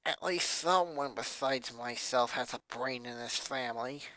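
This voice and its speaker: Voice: mid-range voice, a little slow, a bit bright, no room sound, pitched below the performer's own voice, lightly acted, rough recording. A fan reader voicing a condescending child genius who speaks formally and dryly. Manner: formal, clipped, dry. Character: pedantic genius little girl, condescending.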